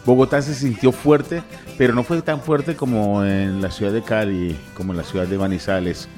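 Low-voiced man on radio. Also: normal speed, mellow. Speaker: radio co-host. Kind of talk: conversational live radio commentary. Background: music behind the voice.

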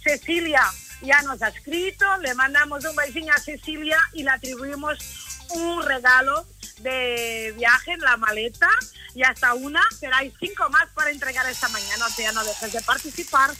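A woman with a Portuguese accent, her voice high and ringing with forward brightness. She is a native Portuguese speaker from Lisbon. She pitches a giveaway live on radio like a brand spokesperson, effusive and affectionate, with faint music playing underneath. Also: moderate pace.

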